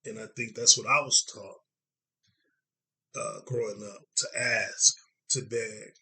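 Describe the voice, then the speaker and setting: Hoarse, gravelly podcast host from Dallas. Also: male voice, lowish voice, flat delivery, bright, forceful tone. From Dallas, Texas. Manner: reflective, searching for words with frequent pauses. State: hoarse from congestion. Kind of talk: casual conversation.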